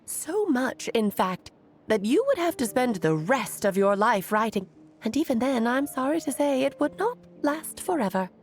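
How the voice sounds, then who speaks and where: Female storybook magician, game drama, fairly high voice, melodic swoops, whimsical, affectionate, musing, music underneath. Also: big pitch swings. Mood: musing, gently regretful. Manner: theatrical, musing, drawn-out phrasing. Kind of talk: dramatic video game voice acting.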